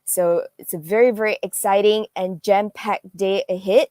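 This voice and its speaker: A woman's voice: mid-range voice, fairly even intonation, normal speed, a little mellow, dry recording. A woman hosting an online conference, delivering a welcome address to attendees. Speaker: event host, developer marketing lead.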